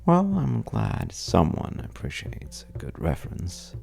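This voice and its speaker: A very low, heavily creaky male voice, a man acting it out, playing a charming troublemaker at a bar in an audio roleplay. He talks slowly and intimately, with wry, teasing pauses, as if spinning a tale for one listener. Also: wildly swooping pitch, a little mellow, faint music behind, heavily acted. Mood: droll storytelling. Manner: wry, teasing, hesitant storyteller with dramatic pauses. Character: well-dressed roguish stranger at a bar, wry trouble-seeking charmer.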